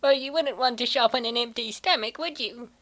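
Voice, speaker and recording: A woman voices an easygoing, food-loving college student for a comic dub. The voice is high. The delivery is breezy and playful. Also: fairly quick, fairly bright tone, natural voice. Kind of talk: comic dub character acting.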